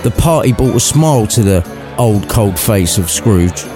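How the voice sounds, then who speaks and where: A man's voice: on the low side, animated, unhurried, over music. A man narrating a scripted story in an irreverent way, with cheeky, sweary asides to the listener.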